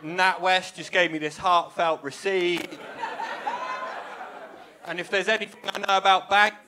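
A young man from England performing a stand-up set on a small club stage into a handheld mic, with a wry, self-deprecating deadpan delivery. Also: high-pitched, a little slow, crowd noise, noisy background. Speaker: stand-up comedian. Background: audience laughter.

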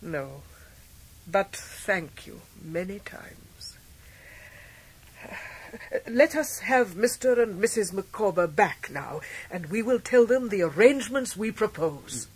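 Radio drama: elderly English aunt, brisk, decisive, kindly, husky, very expressive pitch. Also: female voice, exaggerated voice. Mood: subdued. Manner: clipped, decisive. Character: brisk, forthright, kind-hearted elderly great-aunt. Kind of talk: 1990s radio drama acting.

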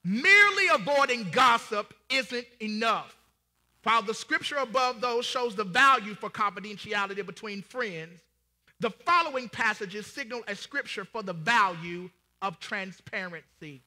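Man acting a piercingly bright, high-voiced solemn judge. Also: expressive, moderate pace, heavily acted. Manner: solemn, commanding. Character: solemn male heavenly judge reading scripture in judgment. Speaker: narrator reading scripture. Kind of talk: declamatory church stage play. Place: large church hall before an audience. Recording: reverberant church hall.

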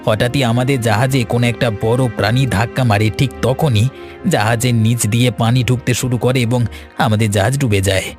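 A man's voice: medium pitch, steady tone, fairly quick, lightly acted. Guy doing tired shipwrecked trader in a cartoon, solemn n grateful, faint music under it. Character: shipwrecked foreign spinach merchant, weary and grateful. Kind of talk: Bengali cartoon story dialogue.

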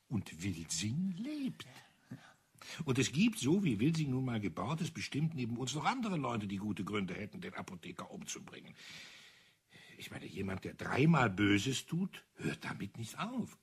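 Old retired officer, husky, lively intonation, bitter, matter-of-fact, crime radio play. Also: male voice, mid-range voice, heavily acted. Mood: dry, explanatory. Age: older.

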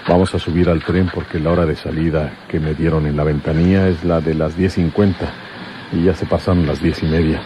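A man's voice: extremely low pitch, flat delivery, a little fast, warm tone. An actor with a Mexican accent playing a protective man in a radio serial. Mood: reassuring. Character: protective man disguised as an old father. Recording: noisy old radio drama recording.

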